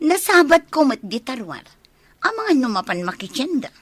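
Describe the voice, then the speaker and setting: A woman acts out a feisty, questioning younger Filipino villager with an Ilocano accent. The voice is fairly high and animated, recorded very dry, as in a comedy radio drama. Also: measured pace, husky, no room sound, exaggerated voice. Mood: suspicious.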